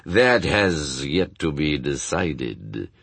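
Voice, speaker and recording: A man voicing a grave demon lord from a story, slow and imperious, with a buzzing voice and archaic phrasing. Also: very deep voice, sing-song, creaky, a bit bright, breathy edge, no echo, voice pushed down, heavily acted. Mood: stern.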